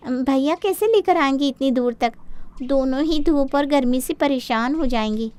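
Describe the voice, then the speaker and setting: A woman's voice: high-pitched, moderate pace, warm tone, no echo, natural voice. A woman voicing a sly young daughter who feigns concern while dropping hints, in a Hindi cartoon story. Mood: mock concern.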